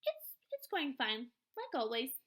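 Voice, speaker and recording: A woman reader plays a wry eighth-grade boy in a storybook. The voice is high and animated, a little hesitant and defensive, as if dodging questions. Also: unhurried, full character voice. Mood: evasive. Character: evasive, sardonic eighth-grade boy narrating his life. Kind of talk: children's novel read-aloud.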